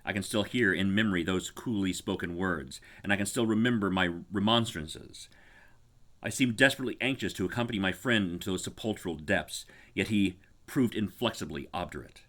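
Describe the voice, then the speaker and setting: Low-pitched man reading horror dramatically. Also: fairly bright tone. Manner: grave, deliberate, formal. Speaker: reader. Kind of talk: dramatic horror story narration.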